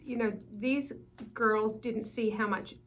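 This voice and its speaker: A woman's voice: a little high, fairly even intonation, slow, a bit bright, rough recording. Australian woman chatting about wine. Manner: friendly, explains with many fillers. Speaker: guest wine importer. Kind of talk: casual chat.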